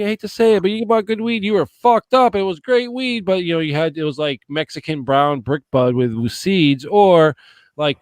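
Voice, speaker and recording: A man's voice: fairly high pitch, clean tone, dry recording. A man in his forties from the Boston area, chatting casually as a podcast guest, rambling and swearing, often asking "you know what I mean?". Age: 40s. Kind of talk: casual chat.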